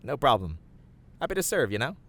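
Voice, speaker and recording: A man's voice: mid-range voice, sing-song, fairly quick, a bit bright. A man voicing a friendly, curious, awkward rabbit who runs a cafe, in an audio roleplay, often stammering. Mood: friendly, casual. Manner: hesitant, stammering when startled. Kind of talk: character acting in an audio roleplay.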